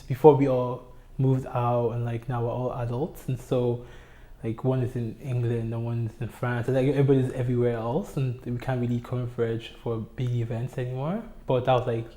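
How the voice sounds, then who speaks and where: Mellow-voiced young man, relaxed storytelling. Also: mid-range voice, reverberant. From Nigeria. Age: young adult. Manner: relaxed, hesitant, many fillers. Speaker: oral history interviewee. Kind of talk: casual personal storytelling. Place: community center room.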